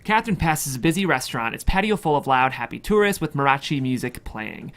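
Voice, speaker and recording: A man's voice: medium pitch, very animated, a little fast, a bit bright. A man reading a screenplay's stage directions as scripted narration. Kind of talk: scripted narration at a table read.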